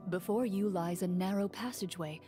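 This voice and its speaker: An actress voicing a composed, serious female duelist in a video game, speaking in a calm, measured way. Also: fairly low pitch, over music, lightly acted. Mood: narrating. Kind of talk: game dialogue and storybook narration.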